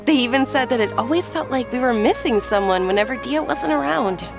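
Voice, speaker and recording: An actress playing a gentle, earnest young noblewoman who speaks in an insistent, caring way. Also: on the high side, animated, a little fast, gravelly. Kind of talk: game cutscene voice acting. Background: game music.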